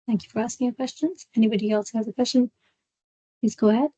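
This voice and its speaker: A woman presenting a live software walkthrough as a trainer, explaining step by step in a halting way. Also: on the high side, fairly even intonation, slightly rough, no room sound, dull audio. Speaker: software trainer hosting a webinar. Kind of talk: live screen-share product walkthrough.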